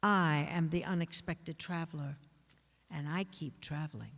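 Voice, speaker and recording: A woman giving a dramatic literary reading, with measured, expressive and deliberate phrasing. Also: deep voice, a little hiss or hum. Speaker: actor reading aloud. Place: large indoor hall at a live reading. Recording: echoey hall with long reverb.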